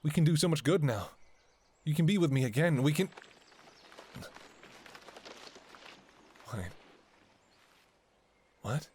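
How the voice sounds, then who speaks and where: A man's voice: fairly high pitch, expressive, normal speed, a little mellow, a little hiss or hum, close to an everyday voice. A man playing a devoted, anxious doctor talking to a lover in an intimate ASMR audio roleplay, with a hesitant, emotionally raw delivery. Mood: tender, hopeful.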